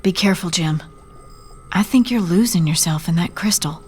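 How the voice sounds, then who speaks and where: A woman's voice: lowish voice, moderate pace, a little mellow, natural voice. Actress as earnest woman scientist, music behind. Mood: concerned. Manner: earnest, concerned, articulate. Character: earnest, compassionate woman scientist in a space war. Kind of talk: video game cutscene dialogue.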